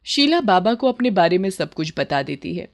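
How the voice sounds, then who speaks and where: A woman's voice: on the low side, very animated, normal speed. A woman narrating a scripted moral bedtime story as a storyteller.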